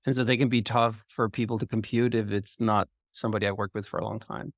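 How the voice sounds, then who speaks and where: A man's voice: on the low side, little pitch movement, quick pace, no echo. Man, dry close sound. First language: Danish. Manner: reflective, loosely phrased, lots of fillers. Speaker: podcast co-host. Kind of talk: conversational interview.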